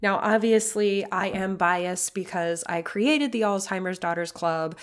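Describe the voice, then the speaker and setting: Podcast host lady, bit raspy from a cold, earnest and encouraging, lots of ums. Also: medium pitch, a little slow. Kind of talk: casual solo podcast talk.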